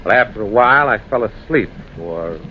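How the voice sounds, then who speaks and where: An actor playing a grave man recounting a ghostly encounter, tense, deliberate and dramatic, in an old-time radio drama. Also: lowish voice, lively intonation, lightly acted. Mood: grave, suspenseful. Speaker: narrator and protagonist. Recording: muffled, hissy old radio recording.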